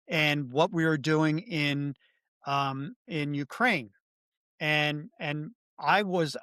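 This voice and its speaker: A middle-aged man co-hosting a political podcast, talking in a measured, thoughtful way with frequent restarts. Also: a little high, fairly bright tone, no echo, studio quality. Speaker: podcast co-host. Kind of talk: political commentary.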